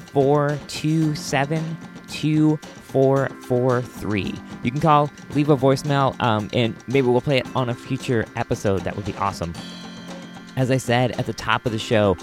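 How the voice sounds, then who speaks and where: An American man hosting a podcast solo, chatting informally and enthusiastically. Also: medium pitch. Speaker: podcast host. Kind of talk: casual solo podcast chat.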